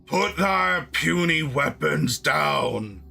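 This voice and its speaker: A man voicing a commanding male centaur who speaks in slow, old-fashioned formal phrases. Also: a little high, animated, gravelly, bright, forceful tone, slightly rough, soft background music, heavily acted. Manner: archaic formal speech, slow and measured.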